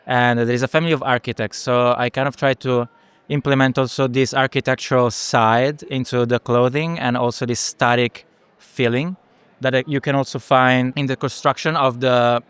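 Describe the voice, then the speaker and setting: A man's voice: mid-range voice, flat delivery, normal speed, a bit bright, smooth, dry recording. A man in his twenties from Rome with an Italian accent, explaining his work as a design student. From Rome, Italy. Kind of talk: explaining own work in an interview.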